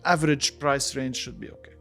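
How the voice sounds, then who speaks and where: A man's voice: medium pitch, brisk, gravelly, a bit bright. Man explaining over faint music. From Lebanon. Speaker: interview guest, plastic surgeon. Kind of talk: conversational podcast interview.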